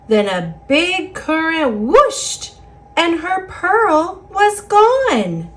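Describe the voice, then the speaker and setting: A woman with an American accent reading a children's picture book aloud in an expressive, singsong rhyming delivery. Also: very high voice, drawn-out words. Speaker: storybook reader.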